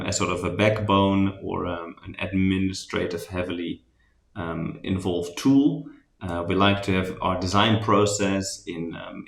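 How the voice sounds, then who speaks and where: A man with a Dutch accent talks through a recording of his design session in a loose, conversational way, often pausing on ums and restarting sentences. His voice sits very low. The sound bounces around a roomy, echoey space. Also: vocal fry. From Netherlands. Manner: relaxed, talkative, many ums and restarts. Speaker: spatial design architect presenting a workshop recap. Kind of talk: recorded presentation recap.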